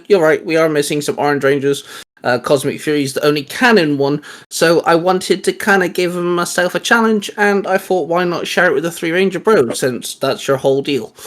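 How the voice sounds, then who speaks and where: A man's voice: on the high side, a bit bright. A young man, a guest artist, explaining things in informal, rambling chat.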